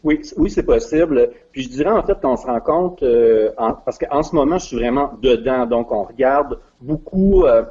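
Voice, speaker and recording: A middle-aged man from Quebec talks with a Quebec French accent, his husky, mellow voice rising and falling in a lively way. He hesitates often, filling gaps and restarting phrases. The sound is dull and lo-fi. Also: medium pitch, normal speed, few pauses. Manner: hesitant, many fillers and restarts. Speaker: guest, regional business development adviser. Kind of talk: casual interview chat.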